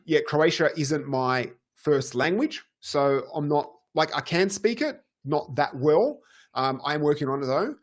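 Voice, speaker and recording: Easygoing man with a higher voice. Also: lively intonation, unhurried. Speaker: podcast co-host. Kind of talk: casual chat.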